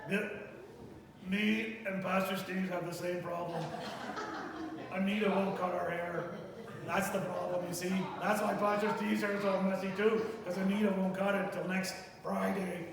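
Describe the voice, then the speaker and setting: A man voicing an excitable, chatty puppet host for children, flustered and bantering, often repeating himself. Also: sits high, moderate pace, gravelly, a crowd in the background. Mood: sheepish. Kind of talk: improvised church puppet show. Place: large church room with live children's audience. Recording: echoey, noisy hall, far from mic.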